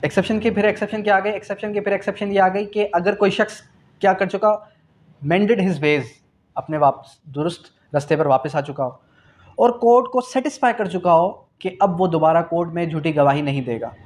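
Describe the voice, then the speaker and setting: Male law lecturer, Pakistani accent, slightly high voice, didactic delivery built on self-answered questions and repetition. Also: a little fast, a little mellow. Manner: repeats key phrases for emphasis; switches between Urdu and English terms. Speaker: law teacher. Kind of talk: exam revision lecture.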